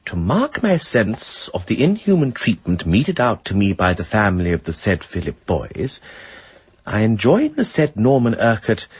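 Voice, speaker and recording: A genial upper-class English gentleman detective in a radio play. His voice is very husky and lively in pitch, full of drawled period slang and polite apology. The recording is dull and muffled. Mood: mild, shrewd. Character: genial, flippant aristocratic English gentleman sleuth, shrewd beneath. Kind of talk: radio drama acting.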